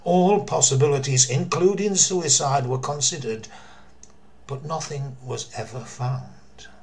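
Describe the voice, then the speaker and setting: An older man narrating a true mystery story in a theatrical, deliberate way, with emphasis and dramatic pauses. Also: medium pitch, darkish tone, a little hiss or hum. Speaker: storyteller, former theatre worker.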